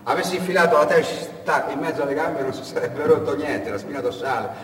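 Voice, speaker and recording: A man giving a dramatic theatrical narration, deliberate and expressive, repeating phrases for effect. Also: fairly high pitch. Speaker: stage actor, storyteller. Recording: echoey hall from far off, noisy.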